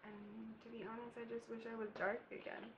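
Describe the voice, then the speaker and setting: A woman's voice: fairly high pitch, flat delivery, normal speed, background noise. A young woman from Barbados, chatting casually with laughing asides as she does her makeup in a bedroom. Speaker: beauty vlogger. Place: bedroom, indoors.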